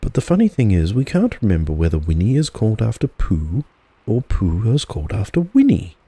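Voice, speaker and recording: A man reads a storybook in a relaxed audiobook style. His voice is low and mellow with a husky edge. The delivery is unhurried storytelling. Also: dry recording. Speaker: audiobook narrator.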